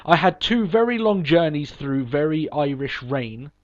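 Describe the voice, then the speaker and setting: A man with a head cold hosts a casual podcast, his voice pitched a little high and slightly stuffed up. He rambles cheerfully, restarting sentences and filling gaps with ums. The recording sounds dull and lo-fi. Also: lively intonation, unhurried. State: sniffly from a cold. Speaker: podcast host.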